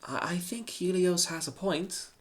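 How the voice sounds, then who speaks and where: A man's voice: sits high, unhurried, voice pushed up. A man voicing a timid, hesitant young slave woman in a humorous storybook, mumbling her doubts.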